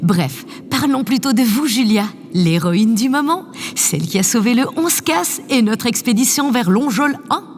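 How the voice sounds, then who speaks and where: A woman's voice: a little high, lively intonation, moderate pace. A woman playing a self-assured, effusive spaceship president in an audio drama, gracious and grand as she coaxes. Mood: gracious, commanding. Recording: reverberant room, faint background noise.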